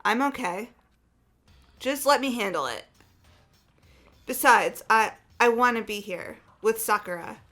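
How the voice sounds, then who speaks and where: A woman's voice: a little high, lively intonation, measured pace, pauses often, sharp tone, faint music behind. A woman voicing a stubborn, angry, grieving and loyal young woman in a fan-voiced visual novel game. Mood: subdued, firm.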